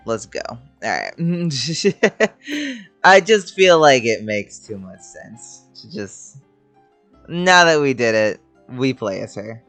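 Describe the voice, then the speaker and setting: Young male streamer, casual commentary, slangy, lively pitch, game music behind. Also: extremely slow. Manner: slangy, sweary, jokey. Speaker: gaming streamer.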